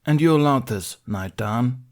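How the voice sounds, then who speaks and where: A man's voice: medium pitch, expressive, unhurried, darkish tone, pitched above the performer's own voice, natural voice. A man voicing a stern, exasperated council master in an audiobook, formally interrogating in a businesslike, accusing way. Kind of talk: audiobook character dialogue.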